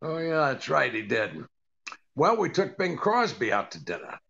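An older man playing a dry, put-upon comedian husband in a 1940s radio comedy, deadpan and hesitant, with a gravelly voice. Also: mid-range voice, normal speed, muffled recording, heavily acted. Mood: dry, patient.

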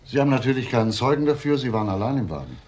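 An actor playing a calm, probing police detective in a crime drama, questioning in a dry, insistent way. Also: male voice, mid-range voice, racing, breathy edge.

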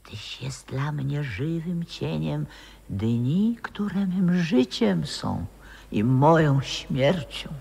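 An actress playing a wistful old former opera singer in a 1960s radio drama, speaking lyrically and dramatically, sometimes dropping into whispers. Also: female voice, low voice, lively intonation, extremely slow, creaky, dark tone, slightly rough, full character voice. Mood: wistful reverie. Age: older. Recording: reverberant 1960s radio play recording.

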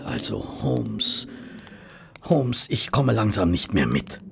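A man's voice: lowish voice, sing-song, slow pace, gravelly, a little hiss or hum, full character voice. An actor playing a puzzled, hesitant male companion of a detective in a German radio play. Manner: bewildered, hesitant.

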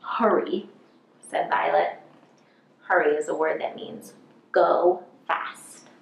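A woman's voice: low voice, little pitch movement, gravelly. A woman in her thirties reads a picture book aloud to young children, explaining simply and stressing key words. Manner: slow, deliberate, emphasizing key words. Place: small plain indoor room. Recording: roomy indoor echo.

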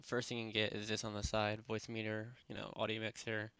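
A man narrating a software tutorial in a casual, unscripted, rambling way, speaking informally with lots of filler phrases. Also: lowish voice, monotone, fast talker, clear, resonant tone, no echo. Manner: rambling, informal, repeats "basically" and "you know". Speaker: tutorial presenter. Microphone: close headset microphone.

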